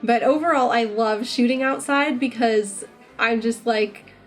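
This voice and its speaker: A woman's voice: on the high side, a little slow, faint music behind. A woman chatting casually in a vlog, informal and enthusiastic, with lots of "like" in her speech. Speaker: vlogger and costume maker.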